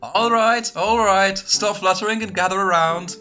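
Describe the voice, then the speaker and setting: A performer playing a stern older male dragonfly teacher giving a measured lecture in a fan script reading. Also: mid-range voice, pushed and bright, soft background music, close to an everyday voice.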